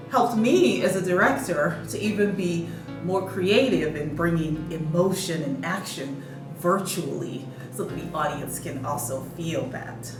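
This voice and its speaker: A woman who is a theatre director, speaking reflectively in an interview with frequent fillers. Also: fairly low pitch. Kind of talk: behind-the-scenes interview. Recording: echoey room, distant mic, music behind.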